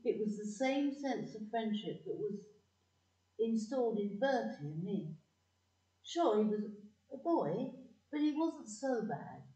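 A woman's voice: medium pitch, mellow, lightly acted. A woman playing a girl fondly narrating memories in an audio play. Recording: echoey, distant, dull sound.

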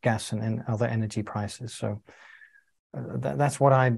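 A young man from the UK explains things over a video call. He picks his words carefully, with hesitant pauses, in a plain, mid-pitched voice. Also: fairly even intonation, creaky, darkish tone, breathy edge. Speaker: co-host. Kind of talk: casual video call chat.

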